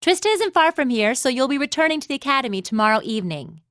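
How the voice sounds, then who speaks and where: A woman's voice: high voice, big pitch swings, quick pace, a bit bright, no echo, studio quality. A woman voicing a laid-back, teasing female instructor in a video game, with a breezy, nonchalant drawl. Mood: breezy, assured. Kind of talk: English video game voice acting.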